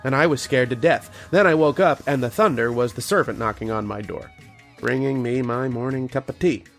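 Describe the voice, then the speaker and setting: A man acts out an earnest archaeologist haunted by what he has seen, in the manner of old-time radio horror. His mid-range voice has a fry-edged grain, and he paces the story with deliberate pauses over music. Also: medium pitch, natural voice. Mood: brooding, haunted. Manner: dramatic first-person storytelling with deliberate pauses. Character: earnest, haunted male archaeologist narrating his uncanny tale.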